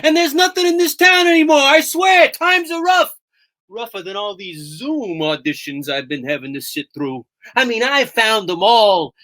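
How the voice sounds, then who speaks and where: A woman's voice: on the high side, expressive, moderate pace, fairly bright tone. A woman voicing a brash, crabby talent agent puppet with a brusque showbiz barker's manner. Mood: boastful. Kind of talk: puppet show character monologue.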